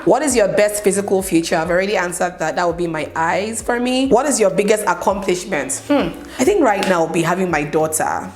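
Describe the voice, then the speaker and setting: A young Nigerian woman with a Nigerian accent, chatting casually as she answers viewer questions in a home vlog and often adds "okay?". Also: fairly low pitch. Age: young adult. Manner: chatty, emphatic, keeps adding "okay?". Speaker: vlogger. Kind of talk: get-to-know-me Q&A vlog.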